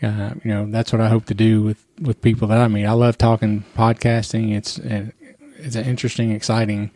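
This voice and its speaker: A man hosting a podcast, talking in a relaxed, encouraging way. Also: fairly low pitch, fairly quick, no room sound, studio quality. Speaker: podcast host. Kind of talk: casual interview talk.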